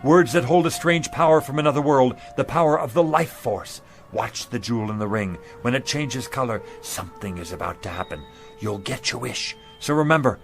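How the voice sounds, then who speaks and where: A kindly old male pitchman played by a man in a radio drama. He spins folksy tales with a showman's flourish and chats gently like a neighborhood salesman. Music plays clearly underneath. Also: natural voice. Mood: mysterious. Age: older. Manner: theatrical salesman patter with children, gentle and chatty. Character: kindly sixty-nine-year-old male street pitchman, folksy storyteller.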